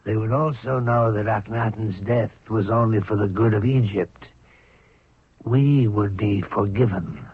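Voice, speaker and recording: A man's voice: on the low side, measured pace, slightly rough, no room sound, heavily acted. A man acting in a 1970s radio drama as a cold, scheming royal courtier, contemptuous and insinuating in his delivery. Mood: conspiratorial, insistent. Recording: dry phone-like vintage radio recording.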